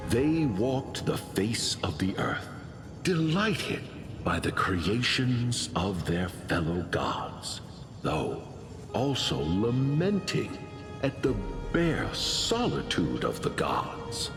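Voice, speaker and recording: An actor playing a solemn narrator of an ancient myth in a video game, grave, measured and dramatic, in the manner of epic storytelling. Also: male voice, fairly low pitch, very animated, full character voice. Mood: solemn, reverent. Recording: music under the voice.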